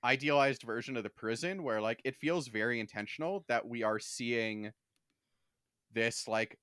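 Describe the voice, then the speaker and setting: Male podcast co-host, lower register, wry casual discussion, very dry recording. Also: pushed and bright, clear, resonant tone, dry recording. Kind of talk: casual pop-culture discussion.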